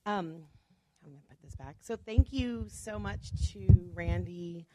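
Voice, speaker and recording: Low-voiced fast-talking woman speaker. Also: lively intonation, warm tone, low-quality recording. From Chicago. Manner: fast-talking, chatty, jokey asides to the audience. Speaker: guest speaker. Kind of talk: public talk to a club audience.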